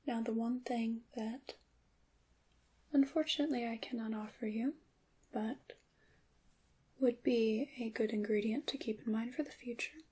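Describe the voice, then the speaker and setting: A woman playing a gentle, knowing witch in an ASMR roleplay, speaking in a breathy half-whisper with deliberate, slightly formal phrasing. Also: on the high side, steady tone. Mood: hushed, instructive. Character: gentle, knowing witch teaching her craft. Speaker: ASMR performer.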